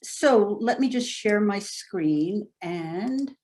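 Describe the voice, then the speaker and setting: A woman's voice: mid-range voice, expressive, drawn-out words, darkish tone. A woman from the Bahamas giving an academic lecture over a video call, talking conversationally and thinking aloud with frequent fillers. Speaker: invited lecturer.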